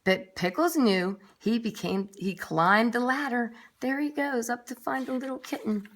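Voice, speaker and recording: A woman's voice: on the high side, moderate pace. A woman reading a children's story aloud expressively, with dramatic emphasis and little asides for young listeners. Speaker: nursery school story reader.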